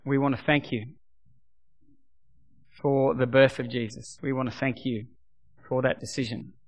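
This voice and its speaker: A middle-aged man preaching as a pastor, his voice a bit croaky. He talks emphatically, repeating his points and dropping to a soft voice for prayer. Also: medium pitch, steady tone, measured pace. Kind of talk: sermon.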